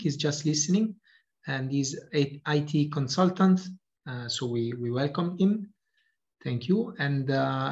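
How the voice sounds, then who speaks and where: A man moderating a video call roundtable, polite and hesitant with many fillers. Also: fairly high pitch. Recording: dull lo-fi call audio.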